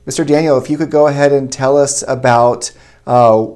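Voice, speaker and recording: A man's voice: medium pitch, fairly even intonation, moderate pace, darkish tone. A man speaking as a school principal, hosting an interview and putting questions in a semi-formal way.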